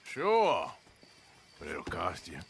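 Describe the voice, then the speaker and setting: An actor playing a gruff, weary male outlaw in a video game cutscene, speaking in a laconic, sardonic drawl. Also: low-pitched, wildly swooping pitch, takes it slowly, slight background noise, exaggerated voice.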